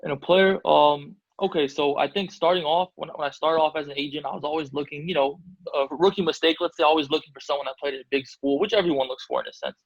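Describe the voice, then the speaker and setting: Man from Albania, basketball agent, rambling fast, dry dull-sounding recording. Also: a little high, fairly even intonation, dry recording. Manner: rambling, many fillers and restarts. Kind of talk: casual interview answers.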